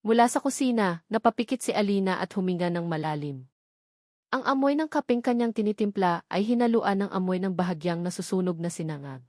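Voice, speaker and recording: A woman's voice: medium pitch, expressive, brisk, darkish tone, no echo, studio quality. A woman with a Filipino accent reading a scripted romance story as a dramatic narrator.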